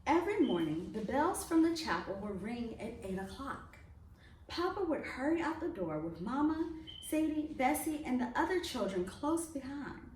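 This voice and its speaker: A woman reading a children's picture book aloud in an expressive storytelling way. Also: sits high, normal speed. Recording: echoey room, distant mic, faint noise.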